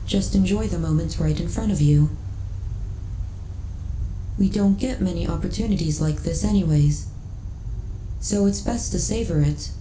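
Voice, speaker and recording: A woman playing a lonely, devoted female starship AI in a soft ASMR sci-fi audio roleplay, speaking in measured, politely formal phrases. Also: on the low side, lightly acted. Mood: tender, soothing. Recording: roomy echo, faint background noise.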